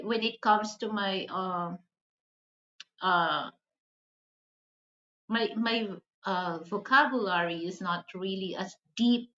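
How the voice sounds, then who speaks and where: A woman with a Filipino accent chats casually to her viewers in a bright, forward voice. She often circles back and repeats words as she builds a sentence, taking her time. The sound is roomy and somewhat dull. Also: medium pitch. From the Philippines. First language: Hiligaynon. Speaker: video channel host. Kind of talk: casual vlog chat with viewers.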